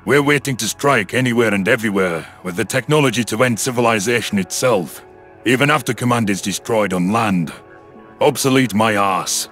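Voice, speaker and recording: A man plays a stern naval commander in an audio story, speaking in a low, measured voice. He is calm and authoritative, with faint music underneath. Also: close to an everyday voice. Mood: proud, menacing. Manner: measured, authoritative, proud explaining. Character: calm, stern male human submarine commander.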